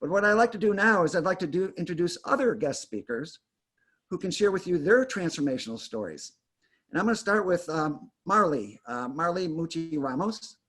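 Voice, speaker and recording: A man's voice: on the high side, lively intonation, normal speed, a bit bright, breathy edge. Man hosting a video call, rambling. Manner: rambling, many fillers and restarts. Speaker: host. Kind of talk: introducing guests on a video call.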